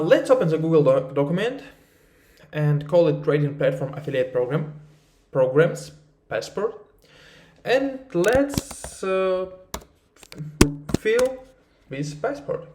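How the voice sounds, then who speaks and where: A Russian-accented man, slightly high-pitched, talks through a tutorial at an unhurried, halting pace. His voice rings in an echoey room, and he stops often for fillers and restarts. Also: expressive, a little mellow. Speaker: marketing research instructor. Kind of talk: screen-recorded tutorial.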